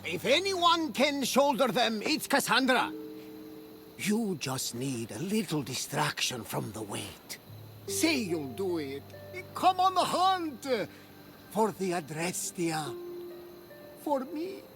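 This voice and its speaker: An actor playing a hearty old sailor in a video game cutscene, with a gravelly, theatrical delivery. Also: male voice, high-pitched, very animated, slow, full character voice. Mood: encouraging. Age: older. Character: boisterous, treasure-hungry old Greek sailor, loyal friend. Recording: loud music behind the voice. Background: music.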